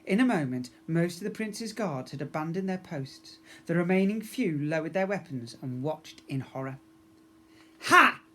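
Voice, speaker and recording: A man reads a storybook aloud in the manner of a primary school teacher. His narration is expressive and aimed at young children. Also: fairly high pitch, moderate pace, hardly any pauses.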